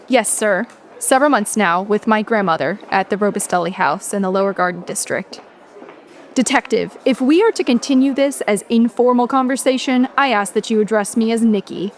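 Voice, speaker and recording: A woman playing a sharp, defiant young researcher in a horror audio drama, speaking formally, directly and challengingly. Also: medium pitch, moderate pace, a little hiss or hum, lightly acted. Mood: polite, formal.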